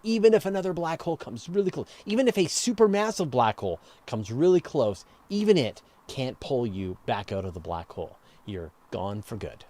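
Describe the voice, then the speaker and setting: A man's voice: mid-range voice, sing-song, moderate pace, hardly any pauses, warm tone. A man hosting a casual live question-and-answer show, explaining step by step in a conversational way and stressing key words. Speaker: host of a live space and astronomy Q&A show.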